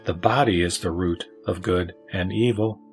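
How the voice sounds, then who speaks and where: A man's voice: deep voice, normal speed, soft background music. A man voicing a wise lay teacher who answers solemnly and formally in a scripture audio drama. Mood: solemn teaching. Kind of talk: audio drama sutra recitation.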